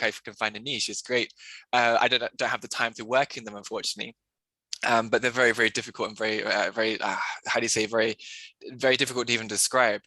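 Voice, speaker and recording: A man's voice: medium pitch, pushed and bright, no room sound, rough recording. A man hosting a casual podcast over a video call on a headset, rambling with many restarts. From English-speaking country. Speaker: podcast host and English tutor.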